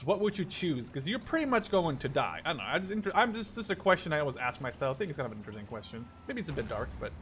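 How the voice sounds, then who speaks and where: Young guy in his 20s doing lets play commentary, voice a bit high, rambles and swears casually, bit of background noise. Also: a bit bright. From Netherlands. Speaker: gaming YouTuber.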